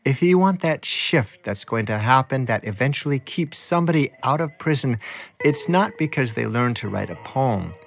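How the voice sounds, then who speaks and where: Medium-pitched man narrating a documentary. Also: lively intonation, moderate pace, creaky, dry recording. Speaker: radio documentary narrator and reporter.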